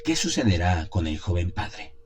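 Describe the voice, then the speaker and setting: A man narrating a gothic horror audio drama in the first person, solemn, measured and dramatic. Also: sits low, sing-song, noisy background.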